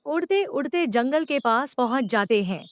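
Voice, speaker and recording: A woman's voice: high-pitched, expressive, moderate pace. A woman storyteller reading scripted narration for a children's cartoon, on a slightly noisy voice-over.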